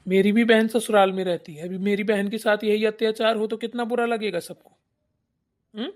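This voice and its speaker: A woman's voice: mid-range voice, level tone, brisk, a little mellow, close to an everyday voice. A woman voicing a protective young husband and grown son in a family cartoon, firm and reproachful. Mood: indignant, reproachful. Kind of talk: Hindi family cartoon acting.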